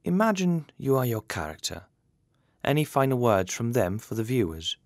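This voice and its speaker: A man's voice: mid-range voice, very animated, moderate pace, a little mellow, dry recording, studio quality. A man hosting an interview, reading out scripted questions. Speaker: interviewer and host.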